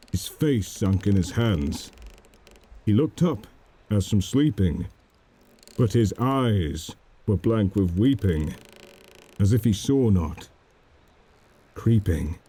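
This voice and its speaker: A man narrating a dramatic poem in a solemn, measured manner. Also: fairly low pitch, dark tone, breathy edge. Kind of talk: dramatic poetry recitation.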